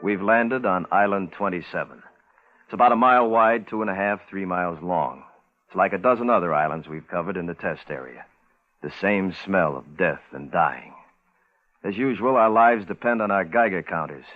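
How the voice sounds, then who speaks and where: An actor playing a steady, pragmatic military team leader, clipped and matter-of-fact, in 1950s radio drama style. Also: male voice, sits low, lightly acted. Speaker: lead actor and narrator. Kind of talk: 1950s radio drama acting, terse log narration. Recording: noisy, tinny 1950s radio recording.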